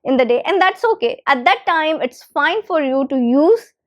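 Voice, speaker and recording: A woman's voice: high-pitched, expressive, moderate pace. A woman whose first language is Hindi, giving an English lesson with emphatic repetition of key phrases. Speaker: English teacher. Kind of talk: instructional talk to camera.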